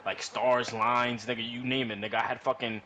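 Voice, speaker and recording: A man tells a story among friends in loose, slangy, swear-heavy talk. His voice sits at an average pitch, and he circles back and repeats words as he goes. There is some noise in the recording. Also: fairly quick. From New York. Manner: rambling, repetitive, slangy, animated retelling. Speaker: podcast storyteller. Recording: noisy room recording.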